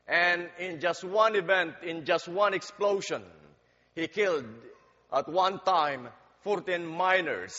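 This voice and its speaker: A man in a somewhat high voice gives a sermon at an unhurried, deliberate pace, stumbling now and then over fillers. His story climbs in force as it builds. The sound is dull and carries a long reverb through the church hall. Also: bright, forceful tone. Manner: slow, deliberate, halting delivery with fillers. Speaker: guest preacher.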